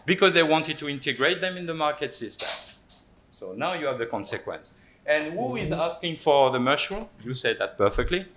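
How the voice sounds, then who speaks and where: A man with a bright, forward voice gives a panel presentation. He poses rhetorical questions and often stumbles over repeated words as he talks. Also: fairly high pitch, big pitch swings, moderate pace, slight background noise. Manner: many restarts and repeated words. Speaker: panel speaker.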